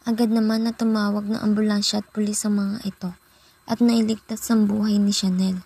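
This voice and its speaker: Husky young woman narrating a drama. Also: medium pitch, level tone, brisk, dark tone. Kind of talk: scripted radio drama narration. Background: background music.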